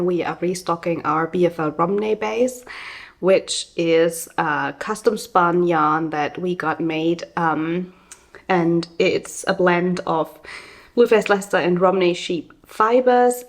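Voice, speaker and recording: A young adult woman from Germany hosting a casual podcast, chatty with lots of fillers, a bit hoarse from a summer cold. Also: on the low side. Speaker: podcast host and yarn dyer. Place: small studio.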